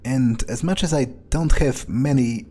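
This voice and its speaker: A man roleplaying a surly, foul-mouthed vampire during a game, musing haltingly to himself in character. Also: medium pitch, mellow, a little hiss or hum, natural voice. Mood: brooding, musing. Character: surly, foul-mouthed, self-serving male vampire, broodingly thoughtful. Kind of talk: in-character gameplay monologue.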